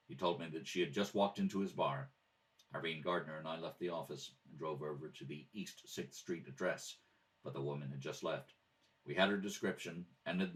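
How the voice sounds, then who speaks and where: A man reading scripted police procedural narration in a clipped, matter-of-fact, deadpan way. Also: a bass voice. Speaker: amateur radio theatre reader. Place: at a kitchen table. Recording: slightly distant home microphone.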